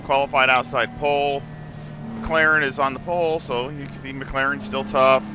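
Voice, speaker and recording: A man hosting his gameplay channel, hoarse and losing his voice, talking in a relaxed, rambling way with many fillers. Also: mid-range voice, moderate pace, over music. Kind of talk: casual sim racing commentary. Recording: voice over loud car engine noise.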